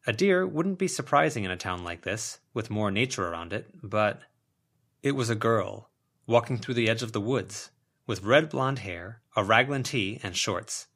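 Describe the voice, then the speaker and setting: A man's voice: lowish voice, animated, moderate pace, a bit bright, slightly rough. A man reading fiction as an audiobook narrator, in a scripted storytelling style. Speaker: audiobook reader.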